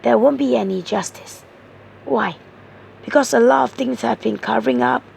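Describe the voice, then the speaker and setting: A hoarse woman giving a tarot card reading, explaining each card point by point in an earnest way. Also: a little high, normal speed, darkish tone, slight background noise. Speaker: tarot reader.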